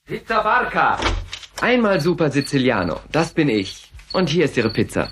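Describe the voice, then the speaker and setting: A man's voice: fairly high pitch, very animated, moderate pace, fairly bright tone. An actor playing an earnest, chatty pizza delivery man in an audio drama. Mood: matter-of-fact. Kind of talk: German audio drama acting. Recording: faint hiss on old cassette.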